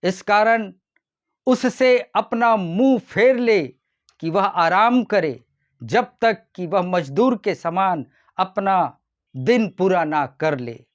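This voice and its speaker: A man preaching a short devotional sermon as a pastor, addressing his listeners in a deliberate manner. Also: high-pitched, animated, dull audio. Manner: deliberate, repeatedly addressing listeners as dear ones.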